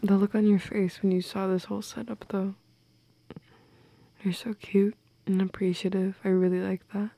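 A young woman in an intimate girlfriend audio roleplay, speaking softly and close to the mic with playful, teasing affection. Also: mid-range voice, little pitch movement, moderate pace, gravelly, long pauses. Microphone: close microphone, dry.

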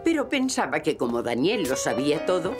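A low, lively storytelling voice with a Castilian Spanish accent. She is a kindly, reassuring cartoon narrator, with music underneath. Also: fairly quick. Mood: gentle storytelling. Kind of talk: scripted children's story narration.

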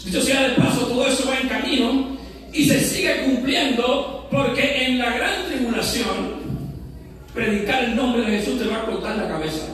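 A pastor preaching a sermon in a church, fervent, with shouted rises and slow drawn-out emphasis. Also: male voice, very high voice. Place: church sanctuary. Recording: echoey church hall from far off.

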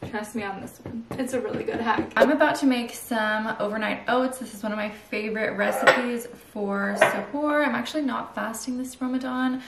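A woman's voice: a little high, normal speed, hardly any pauses, heavy background noise. A woman in her twenties chatting casually and upbeat to her viewers from a room at home, recorded on a camera mic. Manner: chatty and upbeat, lots of "like" and "you guys". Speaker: lifestyle vlogger. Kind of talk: casual vlog chat to viewers. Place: indoors at home, bedroom and dressing room. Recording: roomy home echo.

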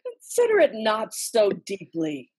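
Steely, scheming noblewoman played by a woman, slow and husky, for an amateur verse drama read-through. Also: mid-range voice, huge pitch swings, darkish tone, exaggerated voice. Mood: controlled. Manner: measured, dramatic reading. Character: scheming, steely wife of a murderous lord. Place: small room at home, indoors. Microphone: computer mic on a video call.

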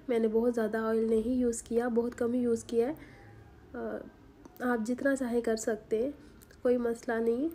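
A woman, hoarse from a sore throat, giving a chatty home cooking voice-over with many fillers. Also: on the high side, warm tone. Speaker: cooking channel host. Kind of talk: recipe voice-over. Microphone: voice-over recorded separately.

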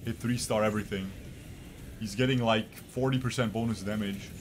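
A man's voice: on the low side, fairly even intonation, moderate pace, noisy background. A young man from Bosnia, raised in Switzerland, chatting to his viewers as he streams a strategy game from a small room at his desk mic. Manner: chatty, talks to his viewers, repeats himself. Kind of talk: live gameplay commentary.

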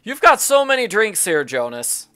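A man hosts a game walkthrough in a casual way. His voice is animated and swings up and down in pitch. He muses aloud and keeps up a jokey running commentary. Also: moderate pace, clean tone, no echo.

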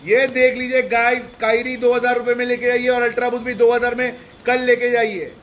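Young shop guy with a really high flat voice listing prices over and over on his phone in a big store, faint hum behind. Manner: repetitive rapid-fire price listing. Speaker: shop salesman. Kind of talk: promotional sale walkthrough. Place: large indoor shoe and sportswear store.

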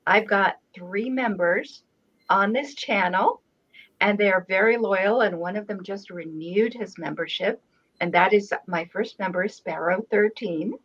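A woman who grew up in Israel with Hebrew as her first language, hosting a relaxed video call chat and speaking slowly with many pauses. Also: mid-range voice, breathy edge. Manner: unhurried, with many pauses and fillers. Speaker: channel host. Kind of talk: casual video call conversation. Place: home room with framed painting. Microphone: video call webcam. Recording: dull lo-fi video call audio.

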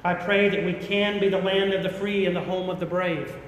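A middle-aged American man preaching a sermon as a pastor, speaking earnestly and deliberately with emphatic repetition. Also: steady tone. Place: large church interior. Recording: echoey hall with rumbling background noise.

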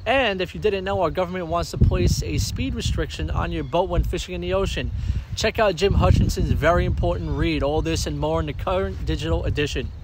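A man's voice: fairly high pitch, a little fast, poor audio. A young man hosting a fishing report, reading scripted news and interviewing an angler in a tackle shop. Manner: easygoing, encouraging interviewer. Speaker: fishing show host and managing editor.

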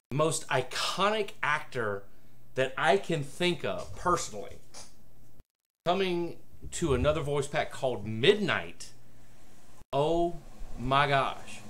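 A man in his forties chatting casually to a webcam as a vlogger, enthusiastic and rambling, with halting pauses. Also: fairly high pitch, a bit bright. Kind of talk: casual excited unboxing vlog chat. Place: small room at home, indoors. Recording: noisy room with air conditioner hum. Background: air conditioner hum.

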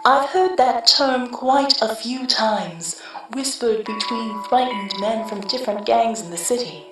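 Actress doing a psychic woman hero, measured, grave, echoey, distant, faint music. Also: on the high side, natural voice. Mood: thoughtful. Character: mysterious psychic woman hero, calm and commanding. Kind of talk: radio drama acting.

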